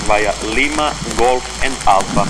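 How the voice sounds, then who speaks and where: A man's voice: mid-range voice, measured pace. A man working as an air traffic controller, giving formal, clipped procedural instructions over aviation radio. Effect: aviation radio transmission. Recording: noisy air traffic radio, engine and rain behind.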